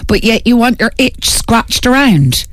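A woman's voice: on the high side, big pitch swings, measured pace, no room sound. A middle-aged Irish woman co-hosting a morning radio show, chatting playfully, teasing her co-host and mimicking the requests people make. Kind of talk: casual breakfast radio chat. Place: radio studio.

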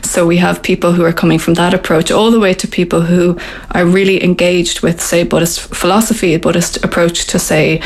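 Irish woman chatting thoughtfully, lots of ums and restarts, bit of gravel in her voice. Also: fairly low pitch, flat delivery, brisk. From Ireland. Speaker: interviewee, Buddhist centre member. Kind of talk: casual conversational explanation.

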